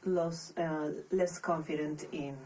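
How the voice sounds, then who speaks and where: Peruvian woman, soft-spoken, far from mic. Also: low-pitched, fairly even intonation, slight background noise. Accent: Peruvian Spanish. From Peru. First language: Spanish. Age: young adult. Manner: thoughtful, pauses often and restarts phrases. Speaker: podcast guest, master's student. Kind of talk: casual interview.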